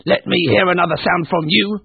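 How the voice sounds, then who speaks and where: A man voicing a mean, cold old miser in a storybook, gruff, curt and scornful. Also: medium pitch, sing-song, takes it slowly, full character voice. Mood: angry, barking. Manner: curt, barking, dismissive. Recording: thin, phone-like narrow-band sound.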